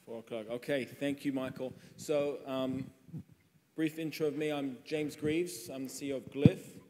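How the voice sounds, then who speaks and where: An English man speaking casually on a conference panel, his pitch barely moving from a level, even line. The sound is washed in the long reverb of a big hall, and a faint murmur of ambience sits behind him. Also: normal speed, noisy background. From England. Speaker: panel moderator and company CEO.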